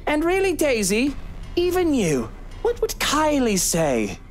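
A woman's voice: high-pitched, huge pitch swings, faint music behind, pitched above the performer's own voice, heavily acted. A woman voicing a smug, menacing rich man in a game, sneering and drawing out contemptuous taunts. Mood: sneering, threatening. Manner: sneering, theatrical, drawn-out taunting.

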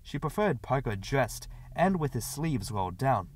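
A man reads a story aloud in a mid-pitched voice. The narration is scripted, in audiobook style. The sound is dry, with hardly any room in it. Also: very animated, moderate pace, husky, no room sound.

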